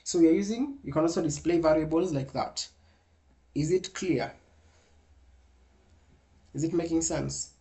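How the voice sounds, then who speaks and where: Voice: medium pitch, unhurried, pauses often, warm tone. A programming instructor teaching an online coding class over a video call, patiently walking through each step and repeating points.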